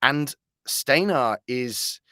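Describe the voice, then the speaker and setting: A British man giving a conversational interview as a voice actor, telling anecdotes in an animated, halting way with self-corrections. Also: medium pitch, dry recording, clean studio sound.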